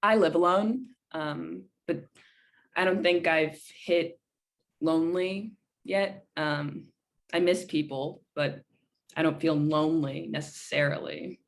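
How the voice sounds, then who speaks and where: A woman's voice: low-pitched, slow pace, vocal fry, heavily acted. A woman playing a matter-of-fact solitary character speaking casually in a documentary play. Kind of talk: casual documentary theater monologue.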